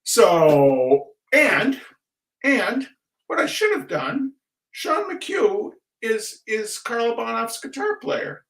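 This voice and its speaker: Male livestream host, slightly high voice, fretful and self-mocking, wandering chat, recorded off-mic in a roomy space. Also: a bit bright. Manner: rambling, self-deprecating, fretful and digressive. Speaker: host of a livestreamed reading night. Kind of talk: casual rambling livestream chat. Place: indoor room at a computer. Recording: roomy echo, distant microphone.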